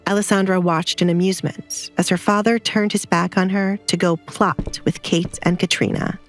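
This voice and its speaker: A woman's voice: fairly low pitch, fairly even intonation, normal speed, faint music behind. A woman narrator reading a scripted romantic drama in a storytelling style.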